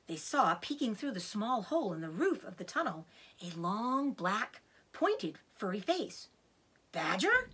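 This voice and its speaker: A woman narrating a children's story aloud in an expressive read-aloud style. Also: medium pitch, unhurried, husky.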